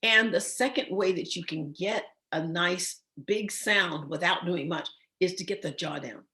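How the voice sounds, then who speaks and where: Hoarse woman teaching singing online. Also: on the low side, unhurried, sharp tone. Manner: encouraging, checks in with frequent "okay?". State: slightly hoarse, froggy voice.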